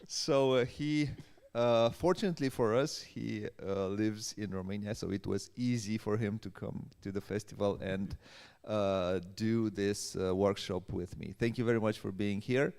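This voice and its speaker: Halting low-voiced man in an echoey hall. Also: vocal fry, a little mellow, clean tone. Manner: hesitant, halting delivery. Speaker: workshop host and interviewer. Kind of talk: live event introduction and interview. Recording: echoey hall with long reverb.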